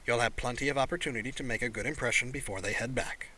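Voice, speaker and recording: An older alien patriarch from a science fiction audiobook, performed by a man. He speaks with measured command. He is stern and authoritative, yet tolerant with his own. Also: medium pitch, pushed and bright. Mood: tolerant good humor.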